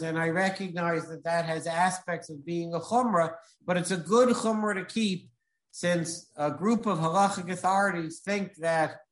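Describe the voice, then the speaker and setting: High-voiced rabbi lecturing in a roomy space. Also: male voice, a bit bright. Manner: measured, explanatory, sprinkled with Hebrew legal terms. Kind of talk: halachic lecture.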